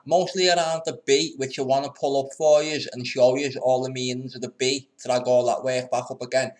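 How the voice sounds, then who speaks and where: A man's voice: medium pitch, a little mellow. A man hosting a channel with informal, off-the-cuff commentary. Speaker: channel host.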